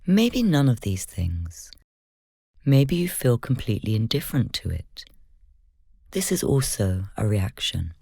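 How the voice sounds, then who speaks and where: A meditation guide leading a guided session in slow, measured, gentle instruction, soft-spoken with whispery moments. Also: medium pitch, big pitch swings, creaky, breathy edge, no room sound, studio quality.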